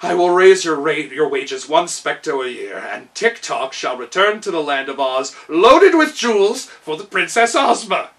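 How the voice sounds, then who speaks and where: A man's voice: a little high, sing-song, measured pace, few pauses, fairly bright tone, far from the mic. A man voicing a blustering, cowardly gnome king from a storybook, theatrical and stammering. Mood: grand. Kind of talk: storybook character voice.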